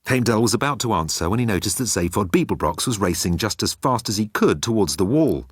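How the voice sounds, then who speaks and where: A man reading comic science fiction as an expressive audiobook narrator. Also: on the low side, a little fast, husky, no echo, studio quality.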